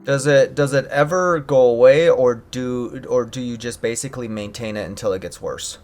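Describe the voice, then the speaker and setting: A man's voice: medium pitch, normal speed, soft background music. A man hosting a casual interview, asking short questions and murmuring agreement while listening. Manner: brief questions and quiet murmured backchannels. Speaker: host.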